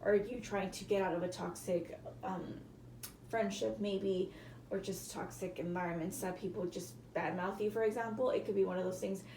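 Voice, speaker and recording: A woman with a hoarse, cracking voice, giving a casual tarot reading to viewers and often apologizing. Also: mid-range voice, fairly even intonation, moderate pace, dark tone, echoey room, a little hiss or hum, rough recording. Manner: chatty, apologetic, hedging with fillers. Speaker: tarot reader.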